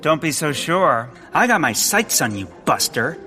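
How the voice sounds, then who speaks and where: An actor playing an earnest, bumbling amateur sleuth in a comedic period audio drama, full of awkward bravado. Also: male voice, fairly high pitch, big pitch swings, measured pace, over music. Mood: mock-brave.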